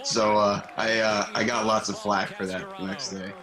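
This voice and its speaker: A man's voice: lowish voice, big pitch swings, normal speed, reverberant, a crowd in the background. A young man, a former defensive player, casually telling self-mocking stories. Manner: self-mocking, laughing easily. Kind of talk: casual reminiscing.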